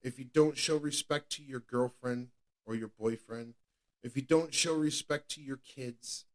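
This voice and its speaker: A man's voice: mid-range voice, measured pace. Earnest man in his thirties. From New England. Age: 30s. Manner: earnest, repetitive, stumbling restarts. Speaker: podcast co-host. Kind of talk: candid personal testimony.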